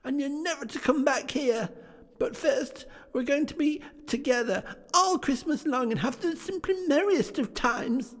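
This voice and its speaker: A man voicing an excited little girl, a young sister rushing with glee. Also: very high-pitched, pitched above the performer's own voice. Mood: gleeful. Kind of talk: live reading of a classic tale. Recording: long hall reverb.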